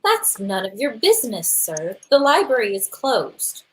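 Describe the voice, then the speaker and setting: Woman as a prim, high-voiced librarian. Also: sing-song, a little slow. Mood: guarded, weary. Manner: prim, guarded. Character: guarded, timid young woman librarian, easily alarmed. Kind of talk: live radio play acting.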